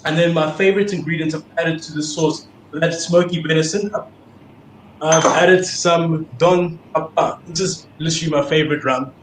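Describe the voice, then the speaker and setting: A man's voice: fairly high pitch, steady tone, normal speed, roomy sound, noisy background. A man with a South African accent, a guest chef talking viewers through his dish over a laptop video call. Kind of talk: casual cooking demo on video call.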